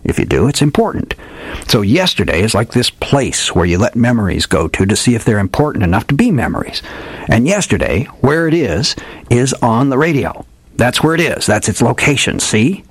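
A man's voice: mid-range voice, very animated, normal speed, husky, no room sound, pitched above the performer's own voice. A man doing a sonorous, smug old detective. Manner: tough-guy slang, theatrical and arch. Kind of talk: reading a story aloud.

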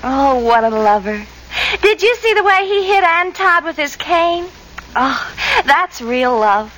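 A woman's voice: sits high, animated, a little slow, a bit bright, rough recording. A woman playing a girlish, teasing, starry-eyed young sweetheart in 1940s radio comedy. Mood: swooning.

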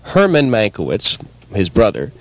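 Man telling an anecdote, lively pitch. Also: moderate pace, slight background noise, rough recording. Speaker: comedy troupe member. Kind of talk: storytelling within radio comedy.

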